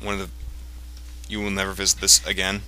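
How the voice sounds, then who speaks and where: A man giving casual, rambling video game commentary, explaining things in a halting way as he plays. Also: low-pitched, completely flat delivery, pushed and bright, a little hiss or hum, poor audio. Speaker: let's play commentator. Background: video game music.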